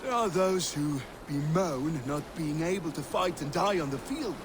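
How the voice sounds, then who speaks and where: An actor playing a seasoned, boastful Viking warrior telling a theatrical tale in a game. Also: male voice, on the high side, moderate pace, natural voice. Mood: grave, proud. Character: seasoned male Viking warrior spinning boastful sea yarns. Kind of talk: dramatic oral storytelling. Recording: steady water and boat noise behind. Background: boat on water.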